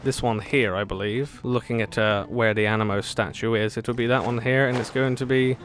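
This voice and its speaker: A woman with a low-pitched voice commentates casually on a video game. She talks back to the characters in sarcastic, jokey asides. Faint game music runs underneath. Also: fairly even intonation, brisk. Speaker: gaming video host.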